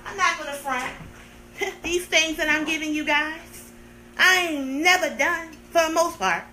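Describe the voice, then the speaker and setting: A woman's voice: high-pitched, measured pace, noisy background. A woman in her thirties chatting on a live stream, playful and sarcastic, teasing her viewers with theatrical complaints. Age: 30s. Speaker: livestream host.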